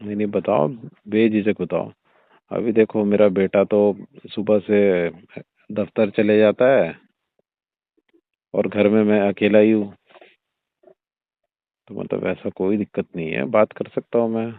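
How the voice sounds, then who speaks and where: A man's voice: lowish voice, flat delivery, a little slow, gravelly, long pauses, dry recording. A man playing an older, indulgent father-in-law who talks in a coaxing, suggestive, familiar way in a staged phone-call drama. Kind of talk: staged phone-call audio drama in everyday conversational speech. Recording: muffled narrow-band phone-line sound.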